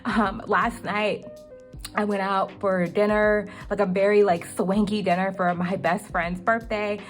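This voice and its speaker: A woman's voice: mid-range voice, little pitch movement, unhurried, faint music behind. A young woman talking through her monthly budget in a casual vlog, chatty and full of ums, her voice a little cracked. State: slightly cracking voice. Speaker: budgeting vlogger.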